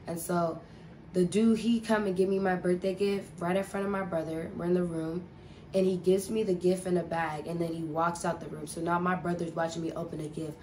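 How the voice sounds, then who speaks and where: A woman's voice: on the low side, little pitch movement, fairly quick, a little mellow, a little hiss or hum, rough recording. A young woman telling a casual storytime, rambling and profane with lots of filler words. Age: young adult. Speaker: vlogger.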